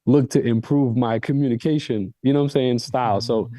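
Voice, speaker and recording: A man's voice: medium pitch, fairly even intonation, brisk, warm tone, no echo. A man whose first language is Arabic, coaching listeners on a call with emphatic, repetitive phrasing. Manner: emphatic, repeats phrases for stress. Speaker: coach and host.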